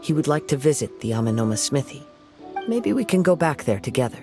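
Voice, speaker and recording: A man voicing a calm young wandering swordsman in a game, soft-spoken and formal. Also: mid-range voice, fairly quick, close to an everyday voice. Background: game soundtrack music.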